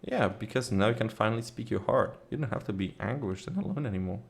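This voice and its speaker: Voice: mid-range voice, expressive, quick pace, gravelly. A game streamer giving casual, slangy, emotionally invested commentary during a late playthrough. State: sleepy.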